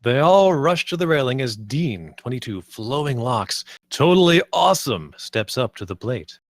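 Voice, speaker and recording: A man's voice: mid-range voice, expressive, moderate pace, dry recording. A man reading stage directions as the narrator at a screenplay table read.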